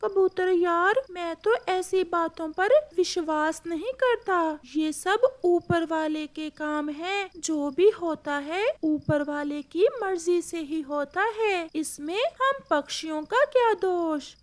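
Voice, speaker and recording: A woman's voice: extremely high pitch, slow pace, few pauses, pitched above the performer's own voice. A woman voicing a reasonable, questioning male parrot neighbour in a cartoon. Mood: doubtful.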